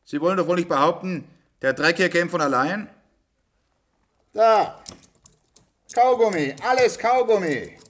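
A man's voice: high voice, unhurried, pauses often, a bit bright. An actor playing a chummy, nosy handyman in a German science-fiction radio drama, talking in a blunt, colloquial way. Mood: scolding. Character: chummy, nosy institute handyman, matter-of-fact working man.

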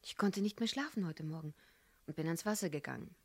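A woman's voice: on the low side, brisk, darkish tone, dry recording. An actress playing an earnest, determined young woman in a German radio crime drama, speaking intimately and insistently. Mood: matter-of-fact, guarded.

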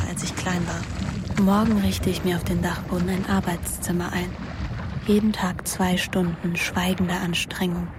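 A woman's voice: lowish voice, noisy background, lightly acted. A young woman playing a scheming, anguished teenage girl who narrates her own story in a radio drama, intimate and introspective.

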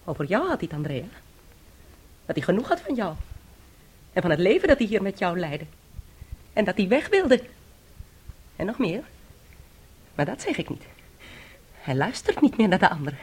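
An actress in a Dutch radio play playing a young bride-to-be who is weary, defiant and spiteful, with a needling manner. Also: female voice, medium pitch, sing-song, moderate pace, long pauses, slightly rough. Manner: evasive, needling, boastful.

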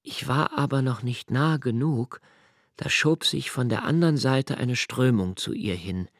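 An actor playing a reflective young male diarist, narrating his memories in a literary radio drama with measured, deliberate delivery. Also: mid-range voice, no room sound, clean studio sound. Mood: hushed, reflective.

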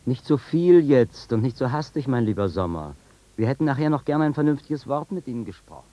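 An actor playing a fussy, condescending doctor in a 1950s German radio drama, speaking with formal, oily politeness. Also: male voice, medium pitch, sing-song, a little fast, highs cut off, natural voice. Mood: gently admonishing.

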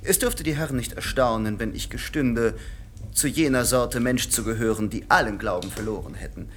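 An actor in a radio drama playing a vain, cultivated stage actor and director who speaks in polished, ironic aphorisms. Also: male voice, medium pitch, moderate pace, fairly bright tone, a little hiss or hum, natural voice. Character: vain, cultivated man of the theatre, champion of artifice.

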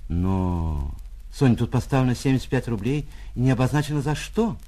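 A man's voice: medium pitch, lively intonation, a little fast, mellow, lightly acted. An actor playing a sentimental male lodger who gets fussy and flustered over money in an audio story. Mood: flustered disbelief.

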